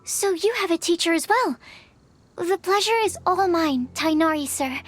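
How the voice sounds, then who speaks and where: Timid, very high-pitched young alchemist. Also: female voice, expressive, normal speed, soft background music. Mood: shy, polite. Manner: hesitant, courteous, earnest. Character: timid, polite young female alchemist assistant. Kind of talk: anime video game voice acting.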